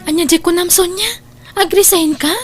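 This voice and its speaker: A woman's voice: extremely high pitch, fairly even intonation, moderate pace, faint music behind. A woman playing a caring, inquisitive young confidante in an Ilocano audio drama, exclaiming and questioning, with an Ilocano accent. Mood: surprised, sympathetic.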